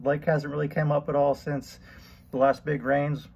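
A middle-aged man giving a casual fishing report, relaxed and rambling with many ums and uhs, recorded on a camera at arm's length. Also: on the high side, moderate pace, vocal fry, breathy edge, highs cut off. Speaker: fishing vlogger. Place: outdoors on a boat on a lake. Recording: loud outdoor boat and wind noise. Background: boat motor and water noise.

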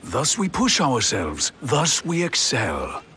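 An actor playing a stern old martial arts master in a cartoon video game, grave and portentous, with a raspy voice. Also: male voice, medium pitch, huge pitch swings, slow pace, very noisy. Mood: grave, commanding.

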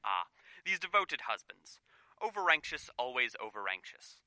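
A man playing a fussy, genial and pompous professional gentleman in an old-time radio play. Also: mid-range voice, big pitch swings, moderate pace, no room sound, poor audio. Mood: courteous, effusive.

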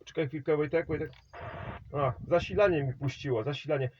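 A man on a CB radio contact, rambling on about his setup and calling out signal reports. His voice sits a little high. The sound is dull and lo-fi with a faint hiss underneath. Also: fairly quick. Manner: rambling, calls signal reports and hands over the mic. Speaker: CB radio operator.

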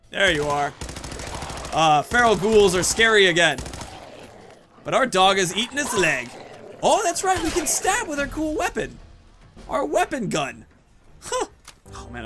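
A man's voice: sits high, sharp tone. A man in his thirties giving casual, playful gameplay commentary, rambling and talking to himself as he plays. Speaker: gaming video host.

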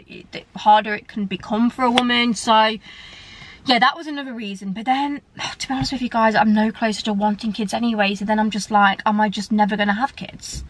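A woman's voice: mid-range voice, a bit bright, rough recording. A woman, chatting candidly to her viewers from a parked car. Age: 30s. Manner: chatty and candid, gesturing with both hands. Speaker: vlogger. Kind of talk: casual chat to camera.

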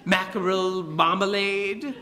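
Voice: mid-range voice, steady tone, slow pace, bright, forceful tone, pitched above the performer's own voice. A narrator voicing a genial, pompous male walrus with grand, theatrical courtesy, with a long hall reverb on the recording.

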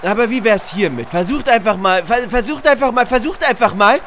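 A bumbling male football coach, acted by a man in a parody dub, rallying his team loudly. He stammers and repeats himself with emphatic, high, swinging pitch. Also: animated, fairly quick, slight background noise. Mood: rousing, frustrated. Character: loud, bumbling male team coach giving pep talk.

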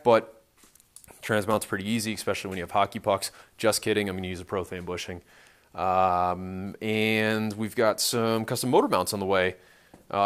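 A man's voice: medium pitch, a bit bright, clean tone. Offhand man explaining things in a garage. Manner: offhand, dryly joking technical explaining. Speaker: host of a car restoration vlog. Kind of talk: casual unscripted workshop talk. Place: large cluttered indoor garage workshop.

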